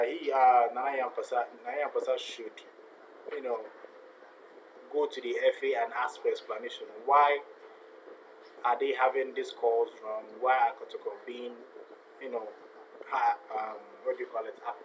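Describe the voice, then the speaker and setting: A man's voice: medium pitch, rough recording. A man hosts a casual football watch-along and reads out viewer messages. He talks in a mid-range voice, often repeating himself. Faint background hiss sits under the voice. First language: not a native Ghanaian; speaks with a foreign accent. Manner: chatty, repeats phrases, reads viewer comments aloud. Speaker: live stream host.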